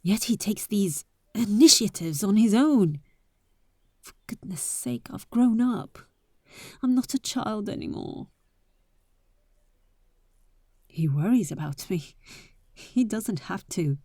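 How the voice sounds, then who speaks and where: Bold young adventurer played by a woman for roleplay audio drama: soft, dark tone, very dry close sound, teasing and impatient yet caring. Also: mid-range voice, expressive, measured pace, breathy edge, dry recording. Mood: exasperated.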